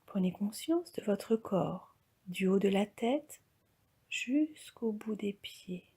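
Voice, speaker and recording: A woman leading a guided yoga relaxation as an instructor, speaking calmly and softly, often close to a whisper. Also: mid-range voice, takes it slowly. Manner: calm, soft, breathy, often near-whispered delivery.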